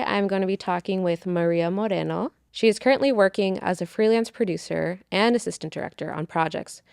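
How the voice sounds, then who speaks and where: A woman hosts an interview and asks her guest friendly, open questions. Her voice is fairly low and smooth, with a touch of gravelly fry. The recording is close and dry. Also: no room sound. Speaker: interview host. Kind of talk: hosting an interview.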